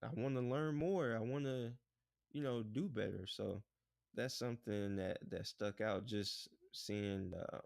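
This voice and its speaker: A man co-hosting a podcast in relaxed, rambling casual chat, often saying "bruh" and "like". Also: lowish voice, measured pace, vocal fry, dry recording, clean studio sound. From United States. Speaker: podcast co-host.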